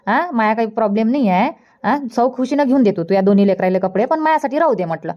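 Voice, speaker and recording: A woman's voice: fairly high pitch, expressive, quick pace, natural voice. A woman voicing a thrifty, practical older village mother in a cartoon comedy, persistently advising in Vidarbha Marathi. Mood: firm, practical. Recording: dull lo-fi cartoon dub.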